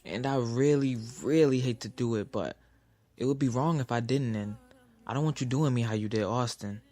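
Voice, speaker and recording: A man's voice: medium pitch, moderate pace, warm tone, close to an everyday voice. A man acting a blunt, candid lover in a naturalistic audio drama about a troubled romance. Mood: hesitant, apologetic. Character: blunt, candid lover frustrated with married girlfriend. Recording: music behind the voice.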